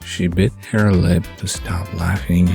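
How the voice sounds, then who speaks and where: A man's voice: low voice, little pitch movement, unhurried, vocal fry, darkish tone, soft background music. A man narrating a scripted romantic bedtime story as the narrator of an audio drama.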